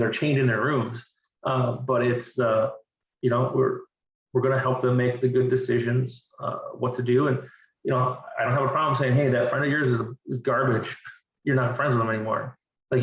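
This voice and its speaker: A man's voice: mid-range voice, little pitch movement, a little fast. A man in his forties, a divorced father talking earnestly as an interview guest and often repeating words as he thinks. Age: 40s. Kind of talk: candid conversational interview. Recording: distant, roomy and dull-sounding.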